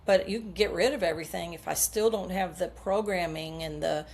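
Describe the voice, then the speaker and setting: A woman's voice: fairly low pitch, fairly quick, gravelly, darkish tone. A middle-aged woman coach explaining her method earnestly in an interview, using homely comparisons. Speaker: subconscious coach, guest. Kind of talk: podcast interview answers.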